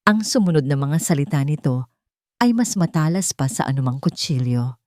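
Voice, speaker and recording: Woman reading a love story, low-ish voice that swings a lot, super dry close mic. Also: normal speed, no room sound. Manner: expressive, dramatic reading. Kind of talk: scripted narration of a romance drama.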